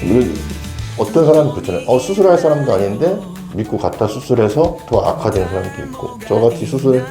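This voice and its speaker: A man's voice: medium pitch, lively intonation, fast talker, music in the background. A man, a former patient, earnestly sharing his experience of treatment in a loose, reflective way. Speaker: patient guest. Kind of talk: casual testimonial.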